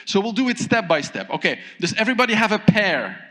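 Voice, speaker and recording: Man giving a keynote in a reverberant hall. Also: sits high. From Thailand. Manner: animated, asks the audience questions, pauses often. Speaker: keynote speaker. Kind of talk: conference keynote talk. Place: large conference hall.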